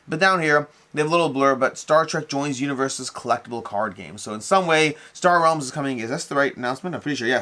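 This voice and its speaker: A man's voice: mid-range voice, pushed and bright. A man hosting a hobby news show in a casual, unscripted way, rambling and often repeating or correcting himself. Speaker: host of a board game news show.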